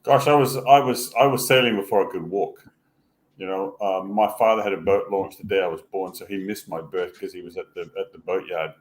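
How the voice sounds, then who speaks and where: A man in his sixties, raised in Hong Kong, recalls his early years for a podcast. His voice sits low, and he talks in halting, reminiscing runs, full of fillers and restarts. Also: steady tone. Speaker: guest, former sail designer. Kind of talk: casual podcast interview.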